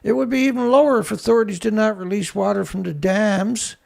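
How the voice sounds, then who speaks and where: A man hosting a ranting commentary show, with a rough voice, blunt, angry and swearing. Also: high voice, steady tone, a little fast, darkish tone. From Canada. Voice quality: rough, gravelly voice. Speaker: show host.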